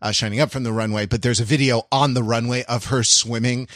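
A man's voice: mid-range voice, fairly even intonation, quick pace, a bit bright, no room sound, studio quality. A man co-hosting a casual podcast, explaining things in a rambling, analytical way. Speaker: podcast co-host.

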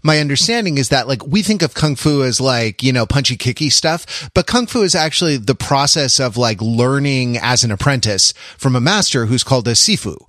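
Male podcast co-host, mid-pitched, very dry sound, hesitant, searching delivery in casual analytical discussion. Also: lively intonation, a little fast, few pauses, a bit bright, no room sound. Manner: hesitant and searching, repeats and rephrases himself.

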